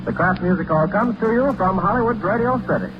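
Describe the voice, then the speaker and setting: A man's voice: high-pitched, fairly quick, noisy background. A man announcing in polished, salesmanlike 1940s radio commercial style. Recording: dull old radio recording with music.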